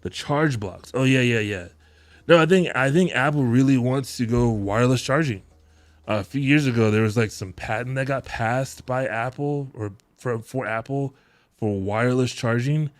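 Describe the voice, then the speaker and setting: A man in his thirties hosting a live tech-deals stream from his home setup, chatting in a relaxed, rambling way with frequent chuckles into a close boom mic. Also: normal speed, dry recording. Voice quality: deep voice. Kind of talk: casual live-stream chat. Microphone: boom mic close to mouth, headphones on.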